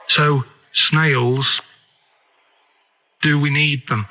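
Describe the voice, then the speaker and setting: A man's voice: on the high side, fairly even intonation, extremely slow, pushed and bright. A man with a Northern English accent rambling comically on the radio in an earnest, naive, persistent way. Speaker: radio show regular making a phone call.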